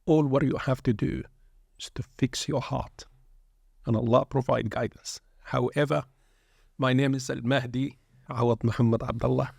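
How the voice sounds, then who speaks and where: Male shaykh, gravelly and level, preacherly podcast guest on a dry mic. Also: mid-range voice, fairly even intonation, moderate pace, a little mellow, dry recording. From born in England, raised in Sudan. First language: Arabic. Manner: earnest, preacherly, peppered with Arabic phrases. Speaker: guest shaykh, pilgrimage group leader. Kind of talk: reflective religious podcast talk.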